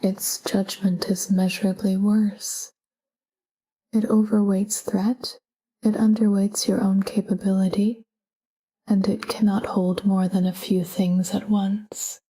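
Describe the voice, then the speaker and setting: Breathy, whispery woman guiding sleep. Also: mid-range voice, low-quality recording. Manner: calm, gentle, measured. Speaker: sleep meditation narrator. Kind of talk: scripted guided sleep meditation, soft spoken.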